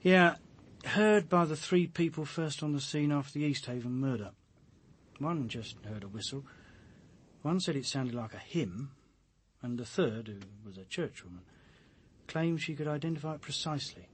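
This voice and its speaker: A man's voice: a little high, expressive, normal speed, husky. A man playing a weary, haunted police detective in a radio drama, brooding and grimly emphatic. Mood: grim, exasperated.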